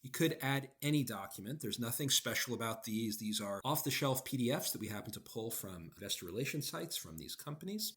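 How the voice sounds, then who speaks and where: A man presents a screen-recorded software tutorial. His mid-pitched voice rises and falls a lot as he explains each step, leaning on key words. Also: lively intonation, brisk, hardly any pauses.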